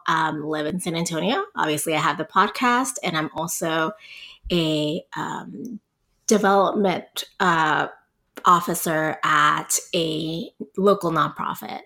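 A woman from Puerto Rico in her thirties talks as a podcast guest. Her voice sits a little low, and she chats loosely with plenty of ums and laughs. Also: a bit bright. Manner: chatty, laughs often, many ums. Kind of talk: casual interview chat.

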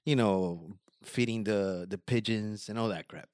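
A man in casual chat, telling a long story in a halting, rambling way with slang and many fillers. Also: lowish voice, darkish tone, no echo, clean studio sound.

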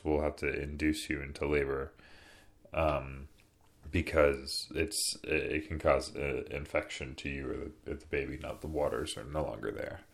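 A young man with a deep voice, casually telling a personal story as a podcast host, relaxed and rambling with soft fillers.

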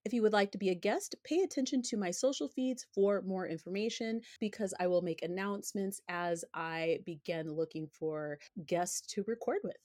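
A woman in her thirties hosting a personal finance podcast, talking candidly and a little hoarse while she recovers from an illness. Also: medium pitch, moderate pace, hardly any pauses, a little mellow, no room sound, clean studio sound. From United States. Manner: candid, conversational, opinionated. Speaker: podcast host.

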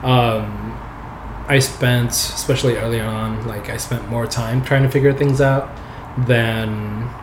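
A relaxed young man talks in a loose, rambling way, his words full of fillers and easy laughs. His first language is not English, and his pitch sits in a middling range. The room is echoey, and a steady hiss of noise runs behind him. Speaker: software developer guest. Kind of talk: casual interview chat.

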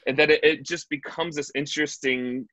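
A man who works as a stand-up comedian, chatting casually in an interview and telling stories with quick asides. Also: fairly high pitch, dry recording, dull audio. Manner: animated storyteller, quick asides.

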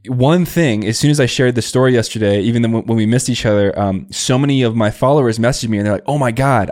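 A man's voice: medium pitch, darkish tone, no room sound, studio quality. A young man hosting a podcast, reading his own workshop promotion and then eagerly welcoming a guest over a remote call. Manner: enthusiastic, effusive praise. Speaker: podcast host. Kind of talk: podcast ad read and interview.

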